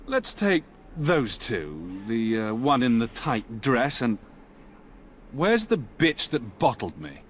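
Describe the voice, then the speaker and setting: An actor playing an arrogant, lecherous villain lord with a smug, mocking, drawling delivery in a video game. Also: male voice, medium pitch, wildly swooping pitch, slow pace, slight background noise. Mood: lecherous menace.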